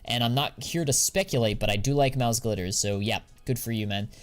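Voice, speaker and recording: A man's voice: medium pitch, a little fast. Young adult American man, easygoing streamer, casual slang, medium voice. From United States. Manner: slangy and laid-back, drawls filler words. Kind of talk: casual live-stream chat, answering viewers.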